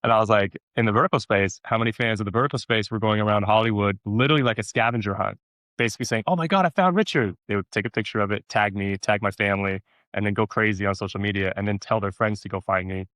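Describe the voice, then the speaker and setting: A man chatting casually as an interview guest, telling stories in an animated way, repeating words for emphasis and acting out quotes. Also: fairly low pitch, quick pace, dry recording. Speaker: actor being interviewed.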